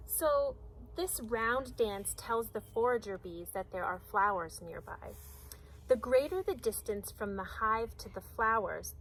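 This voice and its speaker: A woman's voice: medium pitch, slight background noise, low-quality recording. A woman teacher reading a picture book aloud to young children, speaking simply and putting prompting questions.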